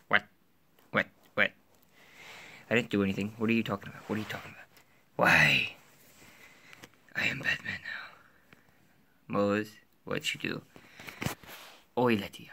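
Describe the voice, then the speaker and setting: A man's voice: on the low side, moderate pace, creaky, long pauses, pushed and bright. A young man in a bedroom voicing several plush toys in an improvised skit, often dropping to a whisper. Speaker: plush puppeteer voicing toy figures. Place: bedroom floor and desk, indoors.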